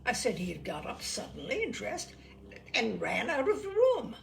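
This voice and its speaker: A woman reading a literary story aloud as an expressive narrator. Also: fairly high pitch, moderate pace, vocal fry, slight background noise. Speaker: storyteller, reader.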